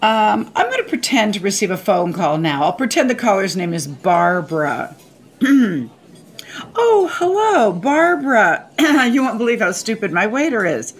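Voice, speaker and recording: A woman's voice: mid-range voice, sing-song, normal speed. The older woman alone at a restaurant table in a radio comedy, answering the staff in clipped, prickly, sardonic remarks. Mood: mocking, exasperated. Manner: dry, curt, sarcastic. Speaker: actress playing a diner. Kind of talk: radio play comedy acting.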